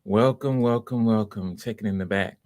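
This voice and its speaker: Low-voiced man hosting a livestream, dry sound. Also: darkish tone, no echo. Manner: welcoming, encouraging, unscripted and halting. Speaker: host of live online voice auditions, writer. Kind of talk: casual livestream chat, greeting viewers by name.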